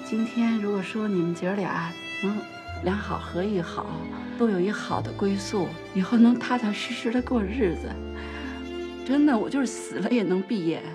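A woman's voice: medium pitch, normal speed, close to an everyday voice. An actress playing a doting, emotional middle-aged mother of a bride in a naturalistic TV drama, fussing and reassuring. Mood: wistful. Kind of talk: naturalistic television drama acting. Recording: music playing under the voice.

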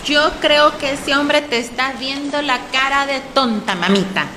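A high-pitched Honduran woman in a radio soap, outspoken and emotional. She talks bluntly and colloquially with lots of expression, over clearly audible ambient noise. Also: measured pace, sharp tone. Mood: indignant. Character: Tota, outspoken, warm, emotional Honduran immigrant shopkeeper woman. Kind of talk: radio soap opera acting.

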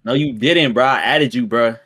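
A man's voice: mid-range voice, normal speed, bright, forceful tone. A young man in his twenties on a stream call, insisting defensively on his age. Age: 20s. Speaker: stream guest.

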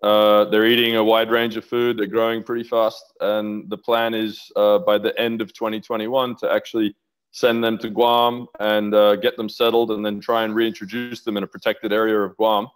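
Middle-aged guy on a headset call, low flat voice, keeps restarting sentences, keen. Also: normal speed, clean tone. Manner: enthusiastic, rambling with restarts. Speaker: interview guest, aquaculture researcher. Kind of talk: casual video-call interview. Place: home office with bookshelves. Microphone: headset on video call.

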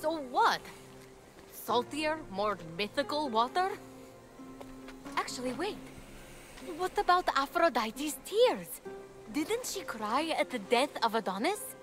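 A plucky young heroine in a video game. Her voice is high and very expressive, earnest but wry, with faint music behind it. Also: takes it slowly, pauses often, full character voice. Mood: earnest, surprised. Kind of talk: video game cutscene acting.

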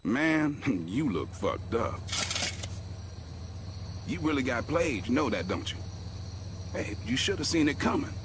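An actor playing a mocking street rival in a video game, gloating in slangy taunts. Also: male voice, medium pitch, sing-song, measured pace, long pauses, noisy background.

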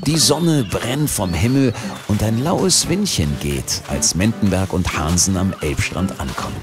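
A man's voice: lowish voice, lively intonation, unhurried, over music. A man performing as a wry storytelling narrator of a comedic radio crime play. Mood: wry, theatrical.

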